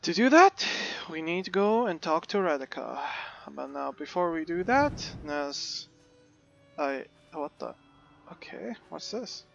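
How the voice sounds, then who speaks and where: A man's voice: on the high side, big pitch swings, measured pace, creaky, highs cut off, soft background music. A man hosting a casual game let's play, rambling and hesitant, his voice a bit crackly. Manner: rambling, hesitant with many fillers. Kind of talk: casual gameplay commentary.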